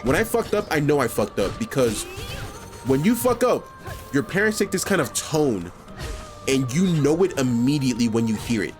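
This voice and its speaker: Brief: male commentary host from New York, lively intonation, casual, earnest, music underneath. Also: medium pitch, moderate pace. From New York, USA. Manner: casual, heartfelt, filler-heavy, swearing. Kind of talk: reaction commentary.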